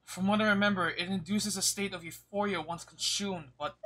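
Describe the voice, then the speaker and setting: A man streaming a game, giving casual live reactions in an excitable, blunt, sweary way. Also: high voice, a little fast, rough recording.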